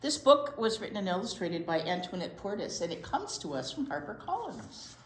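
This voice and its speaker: A woman hosting a children's storytime, reading aloud in an expressive, exaggerated, playful way. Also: medium pitch, a little fast. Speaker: children's storytime host and teacher. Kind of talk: read-aloud to young children. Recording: roomy echo, clear background noise.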